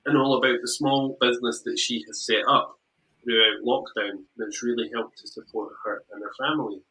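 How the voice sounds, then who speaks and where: A man's voice: medium pitch, steady tone, normal speed, sharp tone, low-quality recording. A man with a Scottish accent hosting a show, chatting casually and teasing his guest in a jokey way. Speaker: podcast host. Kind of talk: casual chat.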